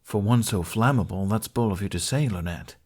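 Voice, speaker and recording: A man's voice: on the low side, fairly quick, darkish tone, lightly acted. A man as a dry, reluctant young man. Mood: dry threat. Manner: dry, grudging, modern casual speech.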